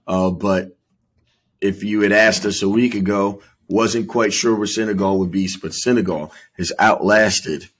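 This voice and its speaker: A man hosting a casual sports discussion, speaking in a measured way with wry teasing. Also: on the low side, husky. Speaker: sports podcast host.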